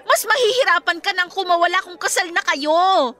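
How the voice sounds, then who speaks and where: A woman's voice: very high-pitched, animated, moderate pace, bright, forceful tone, heavily acted. A woman with a Filipino accent playing a blunt, exasperated best friend in a radio drama, scolding and emphatic. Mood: urgent, blunt. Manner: scolding, emphatic, straight-talking. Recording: music playing behind the dialogue.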